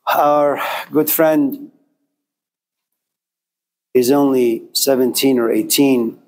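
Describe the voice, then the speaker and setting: A man's voice: medium pitch, steady tone, drawn-out words, a little mellow, poor audio. A man of Persian background giving a classroom lecture, speaking rhetorically and driving points home through emphatic repetition. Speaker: teacher.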